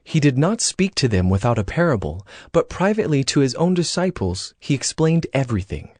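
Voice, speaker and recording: A man narrating a dramatized scripture story in a measured storytelling manner. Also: medium pitch, very animated, darkish tone, no room sound, clean studio sound. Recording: prominent music behind the voice.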